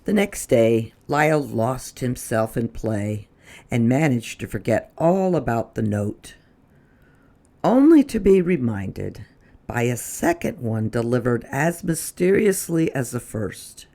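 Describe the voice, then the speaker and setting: Picture-book narrator, mid-pitched with gravelly fry, expressive and deliberate read-aloud delivery. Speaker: storyteller.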